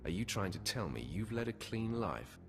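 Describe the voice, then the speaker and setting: An actor playing a confident young male Sith warrior apprentice in a video game, speaking in measured, terse sentences. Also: fairly low pitch, big pitch swings. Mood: calm, firm. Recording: long hall reverb, loud music.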